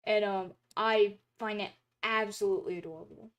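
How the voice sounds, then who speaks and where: A young woman streamer in casual chat, rambling with many fillers and restarts, her throat a little dry and hoarse, talking from a room at home. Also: mid-range voice, fairly even intonation, moderate pace, highs cut off. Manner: rambling, chatty, lots of filler words and restarts. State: throat drying, slightly hoarse.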